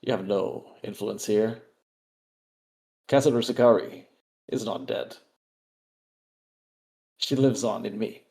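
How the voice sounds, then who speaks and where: A man playing an imperious, cold commander in a tabletop game, speaking slowly in commanding declarations. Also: on the low side, vocal fry, a little mellow. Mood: stern, commanding. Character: imperious cold commander claiming a dead leader's authority.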